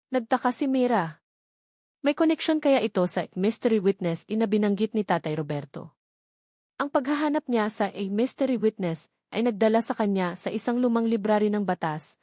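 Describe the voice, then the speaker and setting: A woman's voice: mid-range voice, a little mellow, no room sound, studio quality. A woman narrating a scripted dramatic story as an audio narrator.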